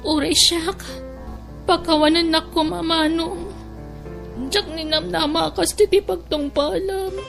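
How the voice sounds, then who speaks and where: Radio drama: a woman playing a sorry younger sister, very high voice, music under. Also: steady tone, unhurried, creaky, long pauses, exaggerated voice. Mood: pleading. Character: remorseful younger sister, pleading.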